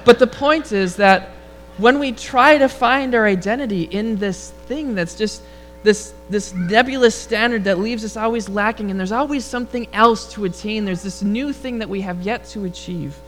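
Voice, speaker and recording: A man with a high, rather feminine-sounding voice, preaching a relaxed, humorous sermon and leading prayer before a congregation. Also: moderate pace, slight background noise. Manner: relaxed, storytelling delivery with humor. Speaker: pastor. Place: church sanctuary before a congregation.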